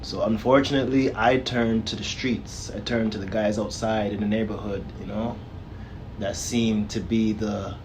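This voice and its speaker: A man speaking as a guest in a casual discussion, slow and measured, often adding "you know". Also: on the low side, steady tone, noisy background.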